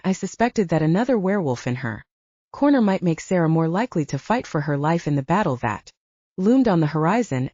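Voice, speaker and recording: Mellow, animated woman narrating fiction. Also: lowish voice, no room sound, muffled recording. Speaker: audiobook narrator. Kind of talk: scripted first-person fiction narration.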